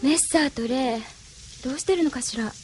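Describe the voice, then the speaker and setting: Woman acting caring young female rebel, high voice, quick talking, gentle feminine pleading, anime audio drama, faint noise. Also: close to an everyday voice. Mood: wistful. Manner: soft feminine, pleading speech.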